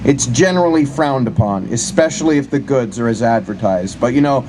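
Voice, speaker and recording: A man's voice: medium pitch, a little fast. A man giving a live dramatic reading of a comic first-person story in a wry, colloquial way. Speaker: live reader of short fiction. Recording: noisy live recording with steady ambient hum.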